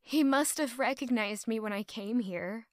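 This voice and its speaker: A woman playing a conflicted, loyal young woman in love in an audio drama, with emotional, deliberate delivery. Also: on the high side, dry recording, studio quality, close to an everyday voice. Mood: pensive, troubled. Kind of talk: dramatic audio drama acting with first-person narration.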